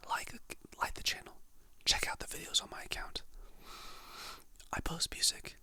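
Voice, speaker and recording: A content creator softly whispering motivational quotes and affirmations in a slow, soothing ASMR style. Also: dry recording. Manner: soft whisper, slow and soothing, reads quotes then names the author.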